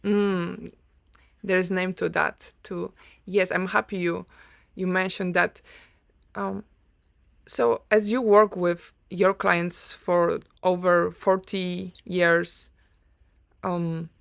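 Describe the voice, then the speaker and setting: A woman with a Polish accent, a native Polish speaker, hosting an online interview in a soft-spoken, hesitant way with many ums. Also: fairly low pitch, little pitch movement, slow pace, creaky, long pauses, dry recording, clean studio sound. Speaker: host.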